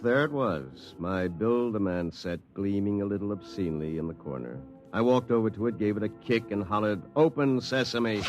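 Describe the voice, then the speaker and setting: Low-voiced wry lawyer narrator played by a man. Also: lightly acted. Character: wry, hapless young lawyer telling his own story. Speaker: narrator of a radio drama. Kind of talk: 1950s radio acting, first-person narration. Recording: 1950s radio recording with music behind.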